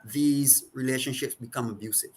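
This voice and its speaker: A man in his forties with a strong Barbadian accent, speaking as an interview guest at a middle pitch. He answers reflectively, often restarting his sentences as he gathers his thoughts. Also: fairly even intonation, a little hiss or hum. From Saint Vincent and the Grenadines. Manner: reflective, halting with repeated restarts. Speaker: counselor and guest.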